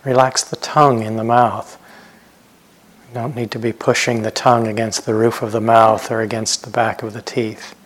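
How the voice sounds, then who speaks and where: A man guiding a meditation as a teacher, speaking gently in short, unhurried phrases with long pauses. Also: on the low side, little pitch movement. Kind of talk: guided meditation instruction.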